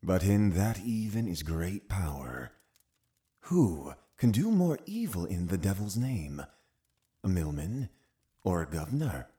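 An actor playing a cunning, zealous Puritan uncle in an audio drama, commanding and condescending with deliberate phrasing. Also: male voice, low-pitched, very animated, dark tone. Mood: menacing, righteous. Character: cunning, zealous Puritan uncle, a menacing witch-hunting authority. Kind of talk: full-cast audio drama acting.

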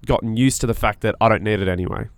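A man's voice: lowish voice, racing, clear, resonant tone. A man with a nasal voice co-hosting a podcast, talking in an earnest, searching way with frequent restarts. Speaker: podcast co-host. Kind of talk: casual reflective chat.

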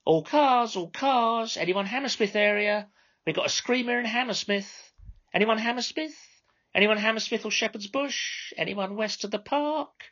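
Man putting on a high-pitched brash cab controller woman. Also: moderate pace, a bit bright, muffled recording. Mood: bossy, exasperated. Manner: insincerely courteous, bossy, sweary. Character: brash, mock-courteous female London minicab controller. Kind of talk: storybook character dialogue.

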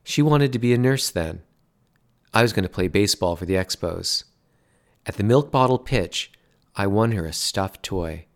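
A man voicing an excitable, stubborn middle-aged father who talks insistently and grows indignant. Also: fairly low pitch, normal speed. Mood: eager. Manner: insistent, growing loud and indignant.

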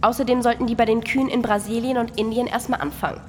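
A woman plays a cynical, commanding boss in an audio drama. Her fairly high voice is brusque and full of scorn, ringing in a long hall reverb over faint noise. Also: steady tone, quick pace, darkish tone, natural voice. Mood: outraged, scornful. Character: bossy, cynical female worker microbe boss inside a cow, foul-mouthed. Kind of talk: scripted radio play acting.

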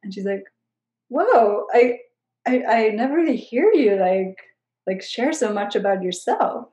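A woman from Switzerland and the Netherlands speaks as a podcast guest with a mellow, warm tone. She sounds reflective and soft-spoken. The room gives her voice a clear echo. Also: medium pitch, expressive, unhurried, lo-fi sound. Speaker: guest, coach and educator. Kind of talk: casual conversational interview. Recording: roomy echo.

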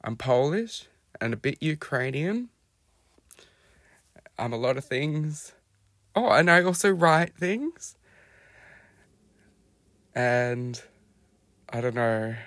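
An Australian man telling his own life story in a reflective, halting way, often pausing to search for words. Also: medium pitch, lively intonation, a bit bright, no echo. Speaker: podcast guest sharing his life story. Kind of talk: personal storytelling monologue.

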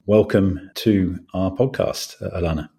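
A man's voice: sits low, a little slow, dark tone, husky. A man hosting a law podcast, interviewing a guest with a hesitant, restarting way of asking questions. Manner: hesitant, many restarts and fillers. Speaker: podcast host, law partner.

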